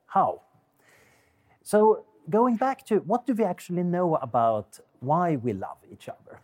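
A man with a Swedish accent talks through ideas in a wry, musing way. His pitch rises and falls a lot, and he often pauses to hesitate before going on. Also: a little mellow. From Sweden. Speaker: guest speaker, neuroscientist and ethicist. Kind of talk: explanatory talk.

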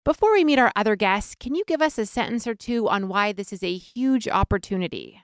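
A woman with a smooth, resonant voice hosts a podcast, reading scripted introductions in a polished, friendly way. The sound is close and dry, with no room around her. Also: mid-range voice, lively intonation, a little fast, no room sound. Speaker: podcast host.

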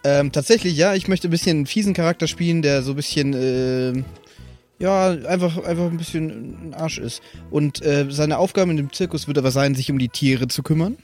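Male podcast co-host, voice a touch high, casual gaming chat, soft music underneath. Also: fairly quick. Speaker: podcast co-host and tabletop role-player. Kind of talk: casual chat about creating game characters. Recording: music playing behind. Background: background music.

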